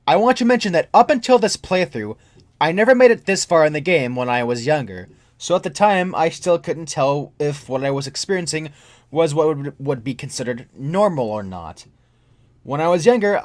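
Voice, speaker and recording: A man reading a scripted first-person horror story as its narrator. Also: on the high side. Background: background music.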